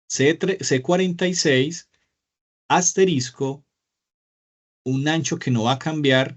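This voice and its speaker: A man, speaking in a mid-range voice as he leads an online class from a small room. He talks patiently and encouragingly and keeps checking in with his students, and the sound is a little dull and lo-fi. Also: medium pitch. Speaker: Excel teacher. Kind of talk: live online class with screen share.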